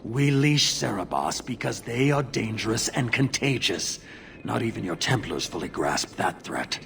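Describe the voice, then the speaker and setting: An actor playing a stern, zealous, commanding giant warrior in a video game, speaking formally and with measured weight. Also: male voice, lowish voice, big pitch swings, gravelly, a bit bright, a little hiss or hum, full character voice. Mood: stern, cold.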